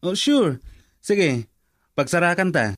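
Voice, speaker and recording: A man's voice: high-pitched, very animated, takes it slowly, a bit bright, dry recording, studio quality, exaggerated voice. An actor playing an easygoing, upbeat male buddy in a radio drama.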